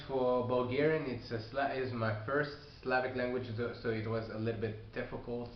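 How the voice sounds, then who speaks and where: Halting non-native man in echoey room. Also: medium pitch. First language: not English. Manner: halting, many fillers and restarts. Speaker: language learner giving advice. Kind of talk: casual video response. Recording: echoey room, dull lo-fi sound, faint hiss.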